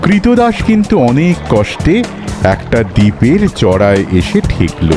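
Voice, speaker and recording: A man's voice: medium pitch, wildly swooping pitch, a little slow. A man narrating a cartoon tale in a dramatic, scripted storytelling style. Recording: prominent music behind the voice.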